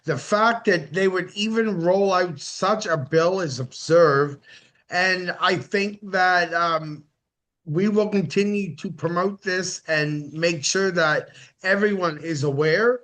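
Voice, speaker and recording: Measured male podcast co-host. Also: fairly high pitch, a bit bright. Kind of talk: casual podcast hosting.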